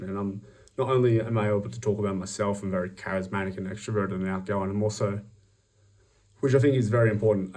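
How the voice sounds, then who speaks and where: Young Australian man, low voice, far off. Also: a little mellow. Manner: upbeat, self-promoting, many ums and restarts. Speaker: job applicant. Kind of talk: self-recorded job application pitch.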